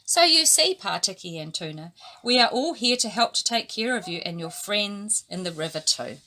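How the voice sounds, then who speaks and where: A woman voicing a cheerful child cousin in a children's storybook reading, proclaiming good news excitedly. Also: medium pitch, moderate pace, slight background noise.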